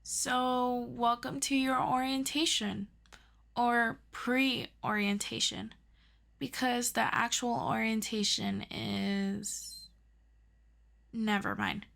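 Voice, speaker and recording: Slow-talking, earnest superhero best friend voiced by a woman. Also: a little high, little pitch movement, vocal fry, fairly bright tone, full character voice. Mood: teasing, upbeat. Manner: halting, casual, self-deprecating teacher act. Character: earnest, eager young superhero best friend playing amateur ethics tutor. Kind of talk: audio roleplay addressed to the listener.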